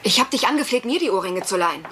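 An actress playing a pushy, indignant single sister in a German-dubbed sitcom, dramatic in manner. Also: female voice, medium pitch, animated, fast, natural voice. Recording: noisy TV sitcom soundtrack.

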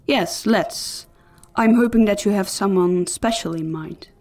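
A woman's voice: mid-range voice, measured pace, darkish tone, close to an everyday voice. An actress playing a gracious, self-assured noblewoman in a fantasy audio drama, courteous and frank. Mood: poised, courteous. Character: gracious, self-assured highborn lady seeking a ward.

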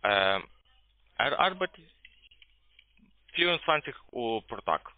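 A man with a foreign accent, not a native speaker, making a halting sales call and searching for words in broken phrasing. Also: mid-range voice, very animated, no room sound. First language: not German. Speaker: phone sales agent pitching investments. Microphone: telephone. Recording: tinny, muffled phone line.

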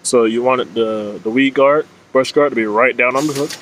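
A man's voice: medium pitch, fairly quick, low-quality recording. A man in his thirties giving an informal, plain-spoken and instructive product explanation to camera as a fishing vlogger. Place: outdoors under leafy trees. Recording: clear steady outdoor background noise.

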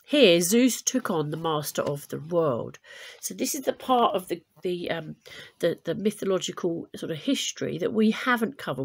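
A woman teacher reading a storybook aloud to pupils in an expressive, deliberate way, adding explanations. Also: on the low side, slightly rough.

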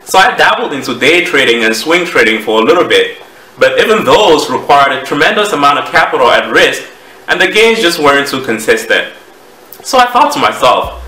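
A man's voice: medium pitch, sharp tone. Roomy-sounding Cameroonian man explaining. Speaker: options trading educator. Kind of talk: prepared presentation to camera. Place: small home office, indoors. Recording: roomy echo, distant microphone.

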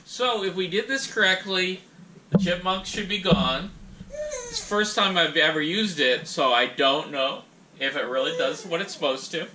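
A middle-aged man giving a casual, folksy how-to talk at home, speaking haltingly into a camera a few feet away. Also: high-pitched, sing-song, noisy background. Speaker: host demonstrating audio gear. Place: room in a house.

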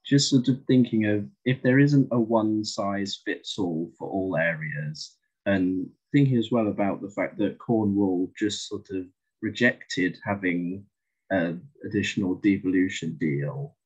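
A man with a low, slightly husky voice puts a question to the panel. He sounds hesitant and keeps restarting his sentences. The audio comes through a dull, lo-fi video call. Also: a little slow, a little mellow. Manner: hesitant, full of "sort of" and restarts. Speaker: audience questioner.

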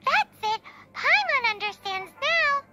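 Squeaky, chirpy little fairy girl. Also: very animated, takes it slowly, full character voice. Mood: excited. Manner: talks of herself in third person. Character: small floating fairy companion girl, chirpy and bossy. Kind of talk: video game voice acting. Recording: game music behind.

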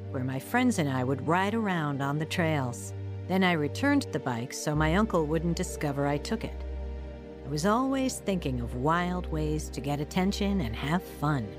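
A reflective, sober woman played by an actress tells her life story as radio drama narration. Her voice is slightly low and measured, over a music bed. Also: lightly acted. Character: woman soberly recounting her troubled, lonely youth. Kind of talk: scripted first-person radio drama narration.

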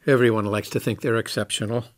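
A man playing a candid, reflective former station commander in a science fiction audio drama, speaking in a measured way. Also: fairly low pitch, steady tone, no echo, studio quality, close to an everyday voice. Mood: matter-of-fact.

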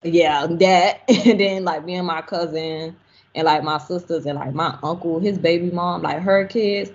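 A woman in her twenties, a guest on a podcast, speaking in a relaxed, rambling way over a video call from home. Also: fairly low pitch, a little slow, dull audio. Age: 20s. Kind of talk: casual chat. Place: home room. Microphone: webcam on video call.

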